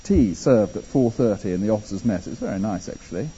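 Animated English preacher in a dull echoey hall. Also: male voice, lowish voice, quick pace, slight background noise. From England. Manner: animated, conversational preaching with asides. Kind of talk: sermon.

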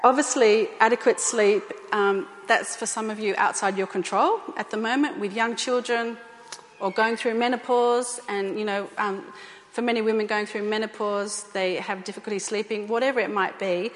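A woman's voice: mid-range voice, steady tone, moderate pace, a little hiss or hum. A woman giving an informal talk to a church group, speaking conversationally with fillers and repeated phrases. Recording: echoey hall.

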